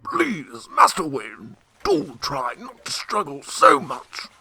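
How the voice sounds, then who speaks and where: A man's voice: on the high side, huge pitch swings, slow pace, vocal fry, pushed and bright, breathy edge, exaggerated voice. A man playing a dry, sardonic, loyal butler and mentor in a radio drama, speaking formally with wry admonishment. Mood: dry sarcasm.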